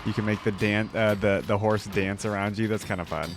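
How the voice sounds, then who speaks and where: A man's voice: lowish voice, steady tone, brisk. A man giving casual reaction commentary as a channel host, informal and thinking aloud. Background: music from the video being watched.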